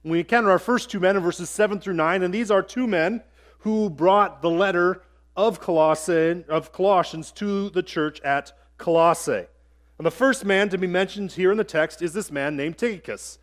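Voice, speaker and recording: A man's voice: high-pitched, normal speed. A man preaching a sermon in a conversational way, with small asides and jokes. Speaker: pastor.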